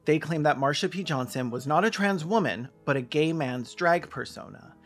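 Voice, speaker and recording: Gravelly male video essayist. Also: on the high side, animated, normal speed, soft background music. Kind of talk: scripted narration, argumentative video essay.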